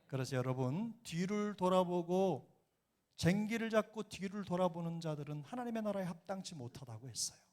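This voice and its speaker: A middle-aged man preaches a sermon in a church, his voice sitting a little high. He speaks earnestly with a slight Jeolla accent. He swells into emphatic exhortation. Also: animated, moderate pace, darkish tone. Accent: Jeolla dialect. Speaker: senior pastor. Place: church sanctuary.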